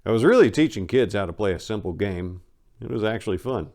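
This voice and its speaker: Low-voiced man reading a story. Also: expressive, fairly quick, a little mellow. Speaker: storyteller and channel host.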